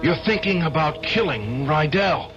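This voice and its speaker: Troubled attorney, dramatic, swooping pitch. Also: male voice, lively intonation, unhurried. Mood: alarmed, tense. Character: troubled attorney confronting a friend about murder. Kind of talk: 1970s radio drama acting. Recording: dull old radio recording, music behind.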